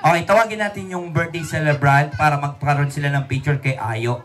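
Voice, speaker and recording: A Filipino man working as a children's party ventriloquist, doing loud, exclaiming, playfully scolding comic patter. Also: on the high side, fairly quick. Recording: noisy live party recording.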